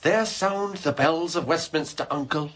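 A man playing a cheerful young nephew with a put-on Scottish Highland accent, high and sing-song. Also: measured pace, fairly bright tone, a little hiss or hum.

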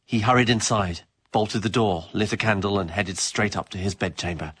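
A man's voice: on the low side, moderate pace, fairly bright tone, husky. A man reading narration in an amateur radio play. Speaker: performer, narrator.

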